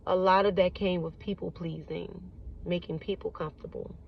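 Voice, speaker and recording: A woman's voice: fairly low pitch, steady tone, normal speed, gravelly, far from the mic. A woman with a Baltimore accent talking to camera from a moving car, earnest and reflective, repeating phrases for emphasis. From Baltimore, United States. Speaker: vlogger. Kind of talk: reflective casual monologue. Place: in a moving car on city streets. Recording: dull phone audio with loud road noise.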